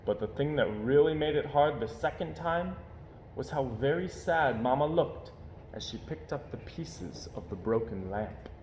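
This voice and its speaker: A man reading a children's story aloud in an expressive narrating style. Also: medium pitch, measured pace. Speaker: storybook reader. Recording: roomy echo, faint background noise.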